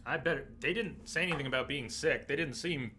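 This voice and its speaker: Hoarse man chatting on a game stream. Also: on the high side, brisk, pushed and bright, a little hiss or hum. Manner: chatty, clears his throat often. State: hoarse, sore scratchy throat. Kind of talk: casual live gameplay commentary.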